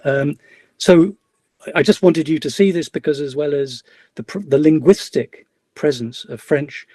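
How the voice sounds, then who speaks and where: A man's voice: a little high, a little slow, warm tone, no echo. A middle-aged man giving an academic webinar lecture over a video call, speaking hesitantly with many fillers and restarts. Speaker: guest lecturer.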